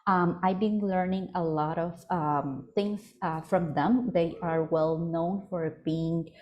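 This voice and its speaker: A woman's voice: on the low side, steady tone, slow, dull audio. A woman from Ecuador with an Ecuadorian Spanish accent, presenting a webinar on a video call, hesitant with many fillers and self-corrections. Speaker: project coordinator presenting a webinar. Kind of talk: virtual presentation. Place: home office in Vancouver.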